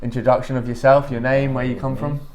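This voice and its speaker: A man hosts a podcast in a mid-pitched, everyday voice. He asks his questions haltingly, stopping and starting with "um" and "like". He sounds casual and conversational. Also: flat delivery, darkish tone, slight background noise. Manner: hesitant, halting questions with fillers. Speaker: podcast host.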